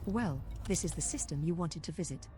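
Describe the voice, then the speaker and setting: A woman's voice: deep voice, brisk, natural voice. A woman playing a composed, articulate spaceship copilot computer in a video game. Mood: composed, helpful. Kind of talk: scripted game voice-pack announcements. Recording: game audio with music behind.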